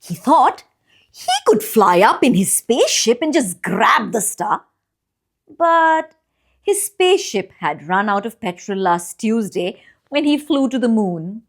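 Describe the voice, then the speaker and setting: Female children's storyteller, fairly high voice, highly varied intonation, expressive and deliberate read-aloud delivery. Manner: expressive, deliberate phrasing with drawn-out pauses for young listeners. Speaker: children's storyteller and channel host.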